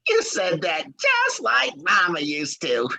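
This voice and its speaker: A woman's voice: on the low side, huge pitch swings, takes it slowly, vocal fry, pushed and bright, pitched below the performer's own voice, exaggerated voice. A woman voicing a naive, easygoing mama's-boy husband with exaggerated drawling delivery in an old-time radio drama. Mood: carefree cheer.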